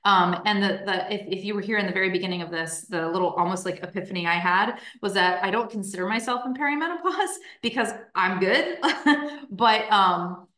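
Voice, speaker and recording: A woman's voice: mid-range voice, fairly quick, sharp tone. Chatty woman in her thirties, roomy sound. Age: 30s. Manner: chatty, upbeat, many fillers and "right?". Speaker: strength coach. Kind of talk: casual talk to an online group. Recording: roomy echo.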